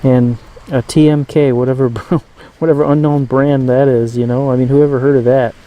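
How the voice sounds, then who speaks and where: Male hobbyist host, soft mellow voice, informal show-and-tell, halting delivery that thinks aloud. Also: mid-range voice, little pitch movement, poor audio. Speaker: host of a radio collecting channel. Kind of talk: casual hands-on gadget demonstration.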